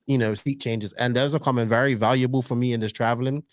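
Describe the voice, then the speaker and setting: A man hosting a casual podcast, animated and teasing, rambling through his stories. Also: medium pitch, fast, dry recording. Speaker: podcast host. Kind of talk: casual chat.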